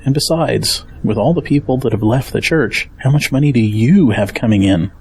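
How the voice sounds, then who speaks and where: Man acting kindly family man, audio drama, husky voice, medium pitch, steadfast, protective. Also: normal speed, darkish tone. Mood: reassuring, practical.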